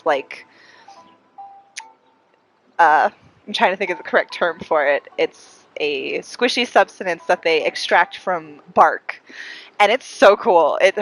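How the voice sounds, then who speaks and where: A woman's voice: fairly low pitch, fairly bright tone. A young woman chatting casually and playfully as she livestreams a game, sounding a little congested. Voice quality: congested, audibly breathy breathing. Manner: playful, giggly, echoes game characters' lines theatrically. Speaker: game streamer. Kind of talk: casual livestream gameplay chat. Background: video game music.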